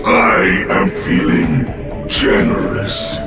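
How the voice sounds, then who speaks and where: An actor voicing an ancient, wrathful evil mask spirit in a video game, gravelly, menacing and grandiose, bellowing like a tyrant. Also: male voice, very slow. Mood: menacing, imperious. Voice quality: gravelly, growling. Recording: echoey, distant, music behind.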